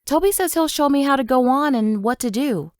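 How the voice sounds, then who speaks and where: A woman's voice: high-pitched, animated, fairly quick. A woman voicing a young, eager female diesel engine who is apologetic and falters as she speaks. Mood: hopeful.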